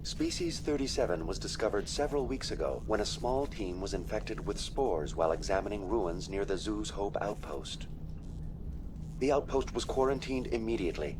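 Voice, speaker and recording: A man's voice: lowish voice, noisy background, lightly acted. A man voicing an impassive male virtual assistant at a research facility in a video game, formal and clinical in delivery. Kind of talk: scripted game dialogue, informational briefing.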